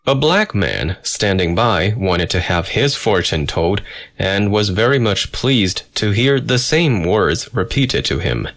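A man narrating a first-person memoir in a measured, steady storytelling manner. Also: lowish voice.

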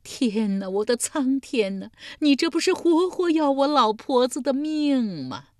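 A woman voicing a distraught old village woman with a high, wailing, crying voice. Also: very animated, a little slow, a bit bright, no echo, clean studio sound.

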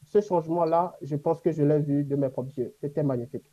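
A man from Africa whose first language is a Gbe language, answering interview questions reflectively with many pauses and fillers. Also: on the high side, dark tone, muffled recording. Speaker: guest.

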